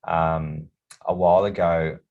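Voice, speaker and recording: A man hosts a podcast interview in a very low voice. His questions come out loose and halting, padded with "um" and "like" as he works his way toward the point. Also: little pitch movement, narrow, tinny audio. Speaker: podcast host. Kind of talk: casual interview chat.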